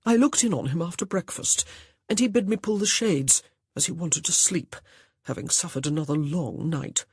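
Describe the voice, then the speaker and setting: A narrator reading a pompous, easily flustered young gentleman who scolds sternly but wavers. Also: a little high, moderate pace, breathy edge, dry recording, studio quality. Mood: earnest. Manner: stern, blustering, uncertain.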